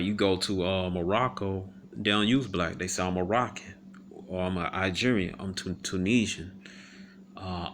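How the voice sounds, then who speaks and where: A man giving casual commentary on a video. He reads viewer comments aloud, rebuts them, pauses often with "um," and keeps asking "all right?". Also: sits low, fairly bright tone, slight background noise. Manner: halting, many ums and repeated "all right?" tags.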